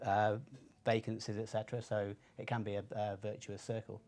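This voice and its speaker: A man presenting research findings in a semi-scripted style, measured and pausing between phrases. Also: lowish voice, monotone, a little mellow.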